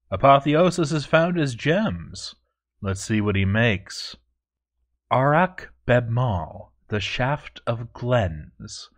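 Guy doing a proud dwarf fort boss, lowish voice, formal and measured, reading out his log like a story. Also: a bit bright. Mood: solemn. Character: proud, stern male dwarf fort overseer, grandly dutiful. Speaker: narrator reading a fort overseer's journal. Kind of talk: scripted storytelling narration.

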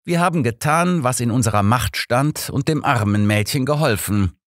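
A man's voice: mid-range voice, lively intonation, moderate pace, no echo, studio quality, pitched above the performer's own voice, lightly acted. A man voicing a cool, blunt old male detective with an authoritative manner in an audiobook. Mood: matter-of-fact. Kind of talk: audiobook character dialogue.

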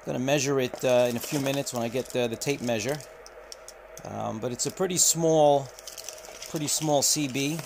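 Man talking slowly with many fillers. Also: mid-range voice, creaky, a little mellow, slight background noise. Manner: unhurried, many filler pauses. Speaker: radio reviewer. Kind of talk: unboxing walkthrough. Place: indoor room at a table.

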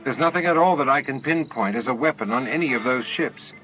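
A man's voice: mid-range voice, brisk, bright, forceful tone, faint music behind, lightly acted. Man voicing a precise female starship first officer. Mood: insistent, puzzled. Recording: dull lo-fi old audiobook tape.

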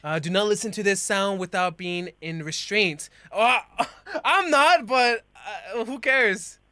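A man's voice: high-pitched, a little slow, no room sound. A young man hosting a reaction video, joking and yelping at the scares, talking into a headset mic in a small bedroom setup. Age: young adult. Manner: jokey and animated, bursts into yelps and laughs. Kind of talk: casual commentary reacting to a horror video. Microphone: headset with desk mic.

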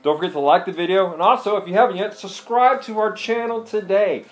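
A man's voice: high voice, brisk, soft background music. A man, co-host of a home video channel, giving a laid-back, upbeat sign-off and reminders to subscribe.

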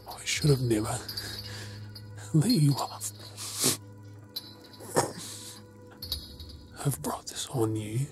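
An Australian man playing an anguished, defiant captive werewolf boyfriend in an ASMR drama, moving between breathy whispers and desperate pleas. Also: mid-range voice. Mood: pleading, remorseful. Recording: music under the voice.

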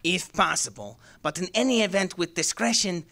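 Slippery little crook, sharp forward tone, precise, fussily courteous, noir radio drama. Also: male voice, high voice, very animated, moderate pace, creaky, full character voice. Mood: polite, guarded. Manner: formal, precise, over-polite.

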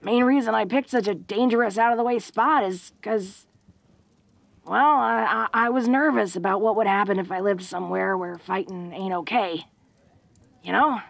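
A woman's voice: mid-range voice, moderate pace, creaky, no room sound, lightly acted. A woman playing a tough, protective, secretly awkward young orc woman in an intimate audio roleplay, with rustic slangy speech and a bashful stammer. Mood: awkward, earnest.

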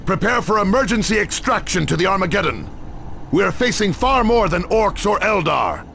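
An actor voicing a stern space marine captain in a video game, forcefully commanding his troops. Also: sits high, big pitch swings, normal speed, vocal fry, a little hiss or hum, exaggerated voice. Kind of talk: video game cutscene acting.

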